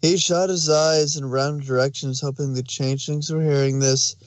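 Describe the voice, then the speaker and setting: A man's voice: on the high side, fairly even intonation, normal speed, no echo. A man narrating a fan fiction story, reading it aloud.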